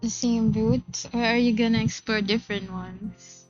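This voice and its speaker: A woman's voice: fairly high pitch, steady tone, moderate pace, darkish tone. A woman streaming a game, chatting casually with viewers in a cutesy, playful way. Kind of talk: casual livestream chat and game commentary. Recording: dull, muffled sound. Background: faint game music.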